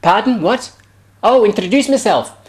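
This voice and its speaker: Man putting on a high-pitched, self-important woman executive. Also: expressive, normal speed. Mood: pleased. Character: pleased, self-important female TV executive producer. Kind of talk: amateur parody voice.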